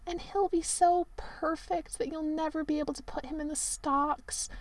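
A woman's voice: high voice, fairly even intonation, normal speed, pitched above the performer's own voice, lightly acted, poor audio. A woman voicing a melodramatic, self-pitying young male servant, whining mournfully. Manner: mournful, overly dramatic whining.

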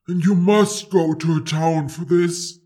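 A storyteller performs a massive, proud dragon for an audiobook. The delivery is very slow and dark-toned, with formal, haughty phrasing and a possessive, easily offended temper. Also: medium pitch, steady tone, natural voice. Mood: offended, skeptical. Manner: imperious, haughty, stilted formal speech.

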